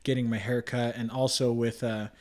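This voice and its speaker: A man's voice: medium pitch, level tone, moderate pace, a little mellow. A man hosting a podcast, introducing his guest in a friendly, loose way with lots of fillers. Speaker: podcast host.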